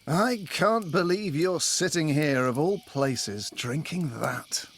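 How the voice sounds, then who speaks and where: An actor playing a bitter, boastful retired detective inspector in a comic audio drama, with pompous, world-weary speechifying. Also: male voice, on the high side, slow pace, fairly bright tone, a little hiss or hum. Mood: disdainful.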